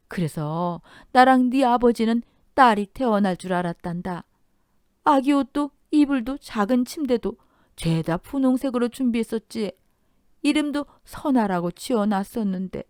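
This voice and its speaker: A woman voicing a frail, dying old mother-in-law in a Korean radio story, tender and sentimental, with slow, drawn-out reminiscing. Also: on the high side, darkish tone, clear, resonant tone, no echo, clean studio sound, voice pushed up, close to an everyday voice.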